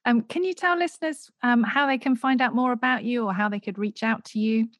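A woman's voice: on the high side, a little fast, no echo, clean studio sound. A woman hosting a podcast, addressing her guest and listeners in a presenting style. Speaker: podcast host. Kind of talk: podcast hosting, interview and scripted outro.

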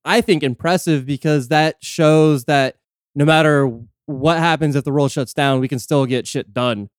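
Male podcast host, slightly high crisp voice, dry close sound, easygoing and jokey, swears casually. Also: fairly even intonation, normal speed, no echo. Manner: joking, laid-back, swears freely.

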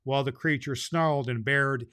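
A man hosting as Santa Claus, reading a children's storybook aloud in a gentle, jolly way and sometimes stumbling over words. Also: mid-range voice, fairly even intonation, moderate pace.

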